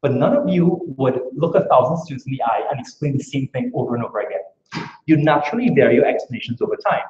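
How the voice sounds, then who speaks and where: Fast-talking Trinidadian man giving a talk. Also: mid-range voice, animated, a little mellow, slight background noise. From Trinidad and Tobago. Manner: brisk, earnest, explaining with rhetorical questions. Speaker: academic speaker. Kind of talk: conference talk. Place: lecture room. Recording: echoey, dull room recording.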